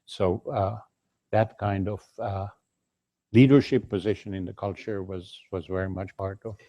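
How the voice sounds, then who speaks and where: Older man, low-ish voice, careful, full of fillers; dry close recording. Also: steady tone, warm tone, no echo. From Yugoslavia. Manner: hesitant, many fillers and pauses. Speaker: Holocaust survivor guest. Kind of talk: interview, personal recollection.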